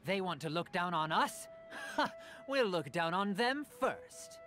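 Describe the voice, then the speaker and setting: A man's voice: high-pitched, very animated, slow, heavily acted. A man voicing a defiant but supportive townsperson in a video game. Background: game music.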